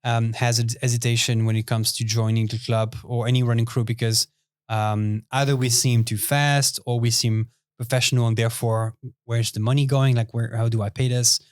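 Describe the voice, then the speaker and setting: A young man hosting a casual podcast interview in a small studio, relaxed and chatty with lots of fillers. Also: medium pitch, moderate pace, clear, resonant tone, no echo. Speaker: podcast host.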